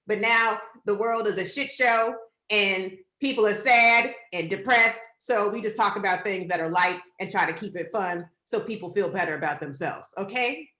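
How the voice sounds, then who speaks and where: A woman's voice: medium pitch, sharp tone, echoey room. A woman hosting a podcast over a video call, blunt and laughing often with a lot of swearing. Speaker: podcast host.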